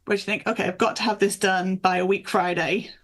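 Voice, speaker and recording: A woman's voice: mid-range voice, steady tone, a little fast. A woman from the north of England and Michigan, chatting in an anecdotal, conversational way as an author being interviewed. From north of England and Michigan, USA. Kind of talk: casual interview chat.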